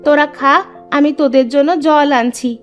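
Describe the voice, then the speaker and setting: A woman's voice: sits high, steady tone, moderate pace, faint music behind, close to an everyday voice. A woman voicing a nagging, exclaiming wife of a village vegetable seller in a cartoon. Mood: pleased, caring.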